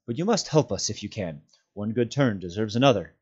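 Earnest hobbit hero acted by a man, stern but merciful, solemn, husky, expressive pitch, storybook character, muffled audio. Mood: firm. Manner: firm, solemn, measured.

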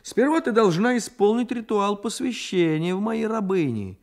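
A man's voice: high voice, expressive, normal speed, creaky, voice pushed up. A man voicing a boastful, bossy young boy who declaims pompously and solemnly in a radio story reading. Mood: pompous, commanding.

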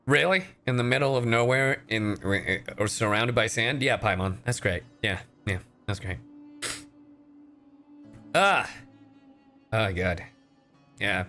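A man's voice: on the low side, slow pace, pauses often, sharp tone, faint music behind. A young man doing casual livestream commentary as a game streamer, sarcastic, joking and sweary.

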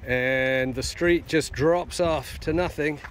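A man giving impromptu walking-tour commentary outdoors in short, halting, matter-of-fact phrases. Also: a little high, a bit bright. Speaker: travel vlogger. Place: outdoors at open seaside ruins. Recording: outdoor phone recording with ambient noise.

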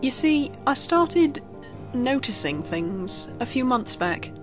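A woman's voice: high-pitched, big pitch swings, takes it slowly. A woman reading a scripted horror story as a narrator, telling it expressively. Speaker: creepypasta narrator. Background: background music.

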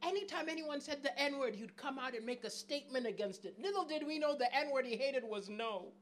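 A middle-aged man from southern Africa performing stand-up comedy on a small club stage, chatty and animated, questioning the audience into a handheld mic. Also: extremely high pitch, a little fast. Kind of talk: stand-up comedy set with crowd work.

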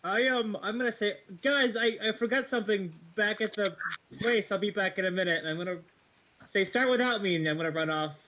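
A man playing a tabletop game on a video call, chatting casually in a halting way and repeating words. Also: high voice, bright, forceful tone, low-quality recording.

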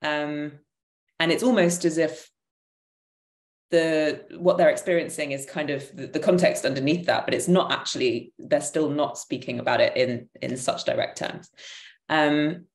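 A woman in her thirties with a notably low voice explains her creative work as a panel guest. She is conversational and a little hesitant, full of asides. The sound is echoey, from a room at home on a video call. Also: a little slow. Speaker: panel guest, theatre maker. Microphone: computer mic on a video call.

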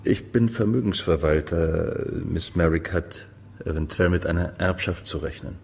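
An actor playing a polite, restrained amateur detective who asks probing questions in a German radio crime drama. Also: male voice, low-pitched, moderate pace, gravelly, darkish tone. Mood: polite, insistent. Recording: reverberant room, faint noise.

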